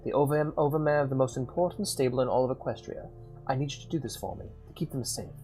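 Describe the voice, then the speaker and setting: Man doing sweet young mare, put-on British accent, old recorded message, pleading, tender, a little higher. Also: lively intonation, fast talker, warm tone, faint music behind. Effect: old crackly recorded message.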